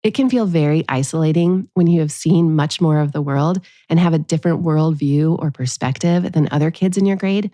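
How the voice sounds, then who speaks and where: Lowish, mellow woman narrating a podcast. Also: moderate pace, few pauses, dry recording. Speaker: pediatrician, podcast host.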